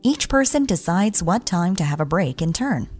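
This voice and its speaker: A woman reading scripted explainer narration in a measured, instructional way. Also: on the low side, big pitch swings, darkish tone. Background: background music.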